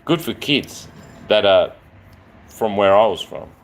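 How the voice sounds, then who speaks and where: A man's voice: lowish voice, expressive, takes it slowly, slight background noise. A man, chatting in a rambling, affectionate way on a live stream. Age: 40s.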